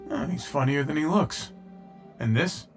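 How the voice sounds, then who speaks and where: An actor playing a brash, sarcastic rebel pilot in a video game, informal and wisecracking. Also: male voice, on the high side, unhurried, faint music behind, natural voice.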